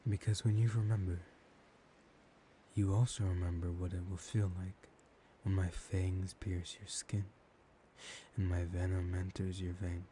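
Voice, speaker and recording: Man playing a menacing seductive vampire, ASMR roleplay, very dark mellow tone, hushed and whispery, slow deliberate pauses, faint hiss. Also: deep voice, little pitch movement. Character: dominant, menacing, seductive male vampire hypnotizing his prey. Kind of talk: soft-spoken ASMR roleplay. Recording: audible background hiss.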